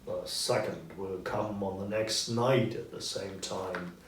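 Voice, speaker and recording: A solemn storybook ghost of a dead businessman, acted out by a man, speaks slowly and emphatically. The voice is anguished and full of regret. It rings in a roomy echo, some distance from the mic. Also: deep voice, steady tone, a little hiss or hum, voice pushed down. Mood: solemn warning. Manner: slow, solemn, emphatic declamation.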